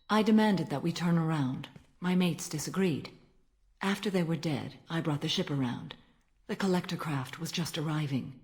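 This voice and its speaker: An actress playing a stern, ancient alien warrior-monk in a video game, speaking in a formal, measured and solemn manner. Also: female voice, deep voice, darkish tone, close to an everyday voice. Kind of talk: dramatic video game dialogue.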